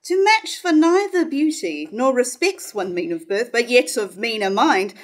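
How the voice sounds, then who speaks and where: A haughty noblewoman played by a woman argues in formal stage verse. She is scornful and disapproving, and her voice is fairly high. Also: very animated, unhurried. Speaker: actor in a staged reading. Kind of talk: formal verse drama reading. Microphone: stand mic at lectern.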